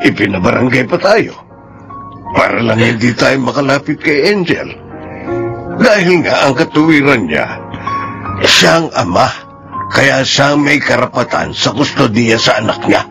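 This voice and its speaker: A man's voice: mid-range voice, big pitch swings, a little slow, vocal fry, long pauses. An actor playing a protective, indignant grandfather in a Filipino radio drama, speaking with weighty emphasis. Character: protective, indignant grandfather worried for his daughter. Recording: music bed under the voice.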